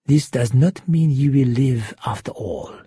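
A man voicing an angry, cold gunman in an audiobook, giving hard, clipped, often hushed threats. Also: medium pitch, unhurried, husky, no echo, clean studio sound. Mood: cold menace. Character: menacing, angry male gunman, cold and commanding. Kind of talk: audiobook character voice.

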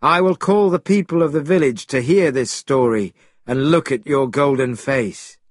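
A man's voice: medium pitch, moderate pace, breathy edge, dry recording, clean studio sound, lightly acted. A man voicing a bold, bossy, suspicious monkey hero in a storybook, speaking in an emphatic, commanding way. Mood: assured, bossy.

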